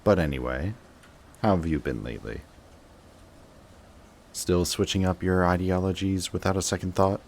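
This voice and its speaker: Low-pitched man acting as a supervillain, sarcastic, jaded, dry and taunting, audio roleplay, noticeable background noise. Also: moderate pace, vocal fry, pauses often. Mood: scornful, bitter. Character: sarcastic, jaded male supervillain sparring with an old rival. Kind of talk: scripted roleplay audio drama monologue.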